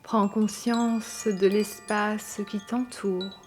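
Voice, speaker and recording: A woman guiding a yoga nidra meditation in a calm, soothing and gentle manner. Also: medium pitch, drawn-out words. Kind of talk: guided meditation narration. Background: soft meditation music with chimes.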